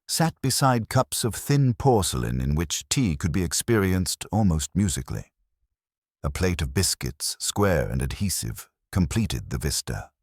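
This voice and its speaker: A man narrating a mystery story from a script in a measured, precise, literary manner. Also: low voice, slightly rough, dry recording, clean studio sound.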